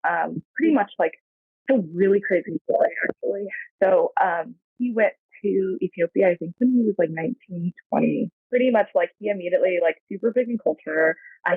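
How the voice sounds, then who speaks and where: Brief: American woman, podcast guest, gravelly and rambling, dry phone-quality audio. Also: lowish voice, no room sound. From San Francisco Bay Area, USA. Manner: reflective, rambling, heavy on fillers. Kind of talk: casual interview chat. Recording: tinny phone-like dry line.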